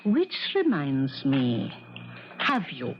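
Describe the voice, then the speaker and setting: An actress playing an aging, devoted piano coach, emphatic and coaxing, in a theatrical 1970s radio drama style. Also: female voice, rumbling low, wildly swooping pitch, very slow, slight background noise, exaggerated voice. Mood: firm, urging. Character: aging female piano coach and manager, insistent, devoted friend. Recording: dull vintage radio broadcast recording.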